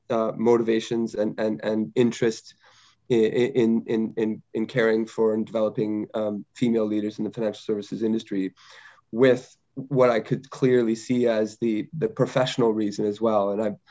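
Halting low-voiced man on a video call. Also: warm tone. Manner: halting, many repeated words and fillers. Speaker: panelist, bank executive. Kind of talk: webinar panel remarks. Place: home or office video call. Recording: slightly dull video-call audio.

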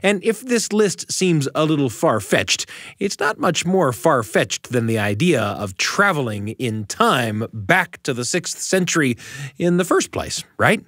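A man hosts a storytelling show with scripted but chatty narration. His voice is bright, his delivery wry and very animated in pitch, and the sound is close and dry. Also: unhurried, no echo. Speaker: show host.

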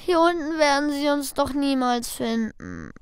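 A woman's voice: sits high, huge pitch swings, a little slow, exaggerated voice. A woman voicing a frightened, whiny young boy adventurer in a children's audio drama. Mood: panicked, despairing. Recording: long echoing reverb.

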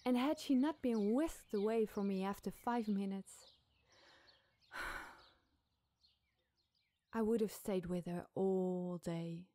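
A woman's voice: mid-range voice, takes it slowly, long pauses, dark tone, no echo. A woman reading a scripted scary campfire story as a podcast storyteller.

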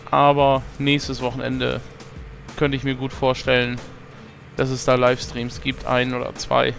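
Hoarse man chatting over gameplay. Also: mid-range voice, monotone, unhurried, fairly bright tone, soft background music. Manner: chatty, self-mocking, thinks aloud with fillers. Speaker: let's play host. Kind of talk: casual gameplay commentary.

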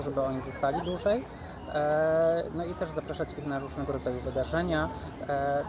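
A man giving a spontaneous interview answer in halting, repetitive, careful official phrasing. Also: on the high side. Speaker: city office representative, interviewee. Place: busy outdoor fair. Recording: outdoor event with crowd noise.

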